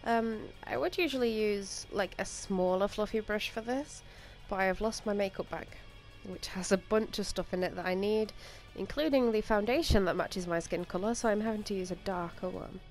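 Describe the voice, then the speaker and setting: A woman's voice: mid-range voice, moderate pace, few pauses, a little mellow. Chatty young woman with a cold. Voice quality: slightly stuffy from a cold. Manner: chatty, rambling, lots of ums and likes. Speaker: makeup vlogger. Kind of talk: casual makeup tutorial voice-over. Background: faint music.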